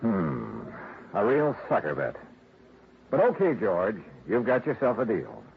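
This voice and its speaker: A man's voice: fairly low pitch, huge pitch swings, unhurried, vocal fry, full character voice. An American man playing a wry, world-weary insurance investigator in a hardboiled 1950s radio drama. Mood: wry, skeptical. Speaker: lead actor and narrator of a detective series. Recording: very noisy, narrow-band old radio recording.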